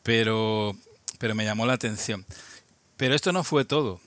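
A man in his sixties from Albacete with a Spain Spanish accent, a guest looking back on his past, speaking haltingly with frequent restarts. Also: lowish voice, a bit bright, no room sound. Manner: halting, many restarts and repeated words, reflective. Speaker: guest, amateur radio operator. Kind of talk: casual interview, reminiscing.

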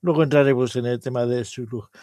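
A man from hosting an amateur radio show, reading out districts and zones in a measured, even way, then interviewing a guest. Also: mid-range voice, dry recording, studio quality. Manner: measured, reads lists of places evenly. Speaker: host of an amateur radio program. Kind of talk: scripted explainer then interview.

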